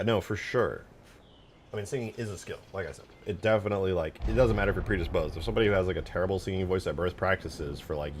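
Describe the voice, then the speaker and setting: A man's voice: low-pitched, slight background noise. A man chatting casually and informally on a livestream, replying to viewers in a slangy, self-deprecating way. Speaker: streamer, game modder. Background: background music.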